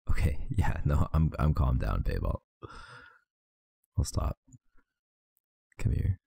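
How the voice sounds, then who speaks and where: A man with an extremely deep, gravelly voice murmurs slowly and tenderly into a close mic. His tone is dark and husky, and it sounds tired and affectionate. The sound is dry, with no room around it. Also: big pitch swings, no echo. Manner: soft, intimate, affectionate murmuring. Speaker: ASMR roleplay performer. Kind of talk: ASMR boyfriend roleplay.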